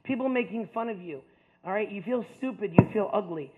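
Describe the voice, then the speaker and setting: High-voiced man telling his story, tinny. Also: brisk, very noisy. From United States. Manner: earnest storytelling with frequent "like" and "right?". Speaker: speaker giving a personal testimony. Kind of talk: personal testimony talk to an audience.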